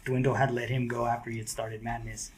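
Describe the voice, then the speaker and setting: A man's voice: medium pitch, a little fast, a little hiss or hum. A man who is a guest skateboarder, talking casually and loosely on a podcast. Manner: relaxed, slangy, lots of "like" and "dude".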